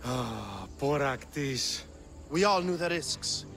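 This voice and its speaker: An actor playing a rough working-class thug of ancient Athens in a game cutscene, blunt and coarse. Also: male voice, mid-range voice, expressive, takes it slowly, fairly bright tone, heavily acted. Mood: defiant. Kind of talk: video game cutscene acting. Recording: music under the voice.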